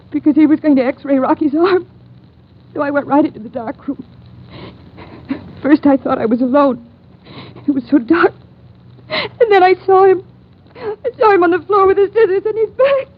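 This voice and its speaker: An actress playing a frightened young ship's medical assistant who is crying, in a 1950s radio drama. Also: female voice, sits high, natural voice. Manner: shaken, breathless recounting. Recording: tinny narrow-band old radio recording.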